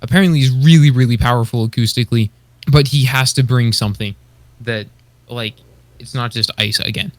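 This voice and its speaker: Male co-host, average pitch, conversational and a bit halting, very dry sound. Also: no room sound. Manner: hesitant, searching for words, often says like. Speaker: podcast co-host. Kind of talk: casual chat.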